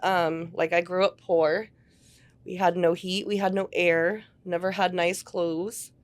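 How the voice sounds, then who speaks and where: Female ministry volunteer, lower register, halting and sincere. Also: flat delivery. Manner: heartfelt, halting speech with fillers. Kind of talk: casual interview talk.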